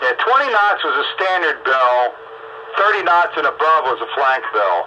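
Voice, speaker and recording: An older man with a fairly high voice talks casually in a group radio chat. He explains things plainly and matter-of-factly, through a dull signal with clear static. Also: sing-song, normal speed. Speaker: radio round table participant, Navy veteran.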